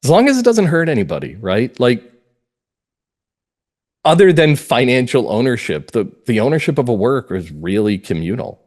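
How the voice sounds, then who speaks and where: A man speaking as a writer being interviewed, reflective and earnest, setting out his views in deliberate, emphatic phrases. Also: medium pitch, sing-song, darkish tone. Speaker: playwright and audio drama writer, interview guest.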